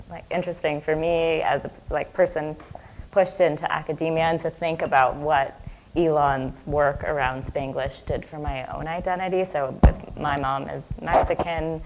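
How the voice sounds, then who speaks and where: A woman's voice: low-pitched, slight background noise, low-quality recording. A woman Spanish professor speaking on an academic panel, casual and personal, with frequent fillers.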